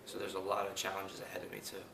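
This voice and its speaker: A twenty-year-old man from New Jersey, a college student, giving quick, matter-of-fact interview answers. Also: low-pitched, completely flat delivery, vocal fry. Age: 20s. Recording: roomy, distant mic, background noise.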